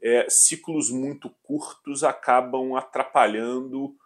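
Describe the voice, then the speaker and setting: A man in his forties, a finance executive from southern Brazil, answering interview questions in a measured way with frequent hesitations. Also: medium pitch, fairly even intonation. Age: 40s. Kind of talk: business interview.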